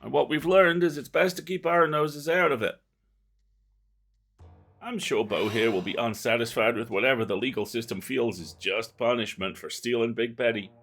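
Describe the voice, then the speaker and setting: A man's voice: medium pitch, moderate pace, a bit bright, faint music behind. A man voicing a dismissive middle-aged small-town sheriff in a game, folksy, blunt and weary. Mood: dismissive, exasperated. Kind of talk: reading game dialogue aloud.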